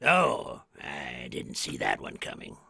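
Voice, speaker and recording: A man's voice: extremely low pitch, wildly swooping pitch, gravelly, pushed and bright, breathy edge, no room sound, studio quality, exaggerated voice. A man playing an eccentric, spooky horror radio host with a theatrical, macabre manner. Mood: drily oblivious. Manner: macabre, cackling, drawn-out delivery.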